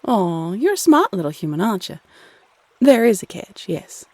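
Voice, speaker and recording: A woman playing a flirty, playfully condescending female Cupid in an intimate roleplay audio, sultry and coy with drawn-out phrasing. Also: mid-range voice, sing-song, a little mellow, dry recording. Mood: reassuring, flirty.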